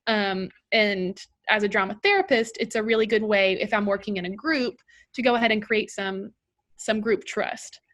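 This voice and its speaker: A woman's voice: medium pitch, moderate pace, fairly bright tone. A woman drama therapist, leading a group discussion and inviting each person to share. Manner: encouraging, asks follow-up questions.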